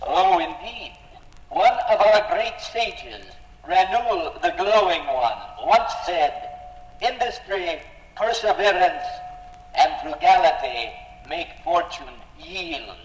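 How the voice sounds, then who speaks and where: Polite wise space alien, slow n formal, big echoey reverb, 1950s radio. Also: male voice, high-pitched, animated, slight background noise. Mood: earnest wonder. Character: courteous, wise alien visitor from outer space. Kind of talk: 1950s radio sponsor skit acting.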